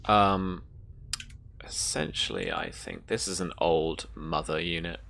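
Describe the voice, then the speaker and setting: Female game master, unusually deep and gravelly, casual and feeling her way through improvised narration. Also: very animated, takes it slowly, fairly bright tone, a little hiss or hum.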